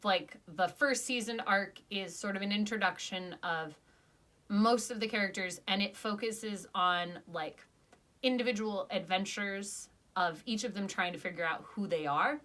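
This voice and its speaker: A woman's voice: mid-range voice, measured pace, pushed and bright. A young woman hosting a casual, enthusiastic podcast review chat full of fillers. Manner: chatty, enthusiastic, many fillers. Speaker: podcast reviewer, channel host.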